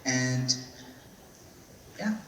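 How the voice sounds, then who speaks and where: Relaxed man introducing himself. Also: medium pitch, very slow. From Hawaii. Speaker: outreach officer. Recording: distant mic in echoey hall.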